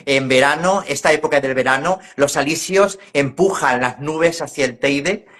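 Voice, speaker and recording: A man's voice: a little high, moderate pace, a bit bright. Guest: man, 50s, from central Spain, reflective and easygoing, chatty over a video call. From La Mancha, Spain. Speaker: guest, educator and trainer. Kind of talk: casual interview conversation.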